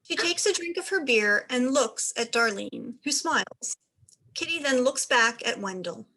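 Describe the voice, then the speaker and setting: A woman's voice: fairly high pitch, lively intonation, measured pace, pushed and bright, rough recording. A woman narrator reading stage directions aloud as scripted narration.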